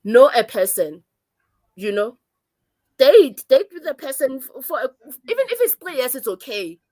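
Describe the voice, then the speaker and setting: A South African woman in her thirties speaks as a guest with a slightly high voice. She talks earnestly and gives advice, with some hesitations along the way. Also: sing-song, moderate pace, mellow. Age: 30s. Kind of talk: casual chat.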